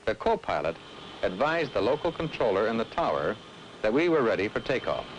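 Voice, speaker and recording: Patient airline guy explaining stuff, low voice that moves around a lot, old film sound. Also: sing-song, a little fast. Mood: instructive. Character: patient airline man explaining air traffic control. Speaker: aviation professional explaining a flight to a passenger. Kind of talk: scripted explanatory narration in an educational film. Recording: old film soundtrack, faint background noise.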